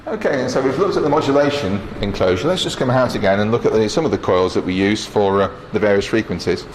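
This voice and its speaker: A man's voice: on the low side, quick pace, low-quality recording. Matter-of-fact male engineer over machinery noise. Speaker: transmitter engineer giving a station tour. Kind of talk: casual technical walkthrough, explaining equipment while operating it. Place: indoors in a transmitter hall. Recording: clear machinery and air-cooling noise.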